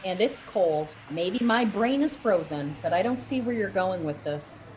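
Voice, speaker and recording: A woman's voice: medium pitch, lively intonation, measured pace, darkish tone, slight background noise, close to an everyday voice, low-quality recording. A woman playing a skeptical, weary police officer with a dry, blunt manner.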